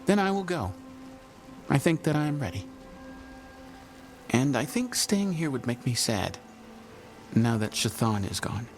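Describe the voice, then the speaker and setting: A gentle, guileless video game companion, with a very animated melody to his plain, formal sentences. He sounds simple-hearted and earnest, and music plays clearly behind him. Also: medium pitch, measured pace, long pauses. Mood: wistful. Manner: plain short sentences, slightly formal, no contractions.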